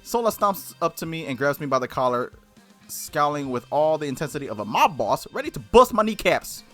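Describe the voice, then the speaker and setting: Male let's-play host, lively swings in pitch, reading story text with casual asides, music behind. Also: fairly quick. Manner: expressive read-aloud, slangy asides. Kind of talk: reading a visual novel aloud with casual reactions.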